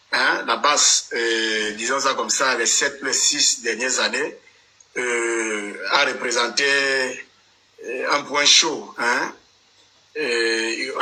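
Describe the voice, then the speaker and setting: A man's voice: medium pitch, bright, forceful tone, low-quality recording. Probing male debate moderator. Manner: probing, interrupts to steer. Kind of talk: hosting a live political debate.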